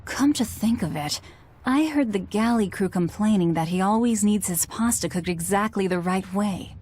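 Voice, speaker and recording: A woman's voice: mid-range voice, moderate pace, darkish tone, a little hiss or hum, natural voice. A woman as a cool, blunt, sardonic heroine. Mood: dry. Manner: dry, blunt. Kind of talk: English anime game dub acting.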